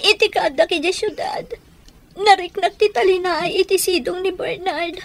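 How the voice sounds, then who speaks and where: A Filipino actress playing a troubled, pleading wife in a radio soap, plaintive and hesitant, with a Filipino accent. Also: female voice, extremely high pitch, moderate pace. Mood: tearful, pleading. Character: troubled, pleading wife confiding in her friend.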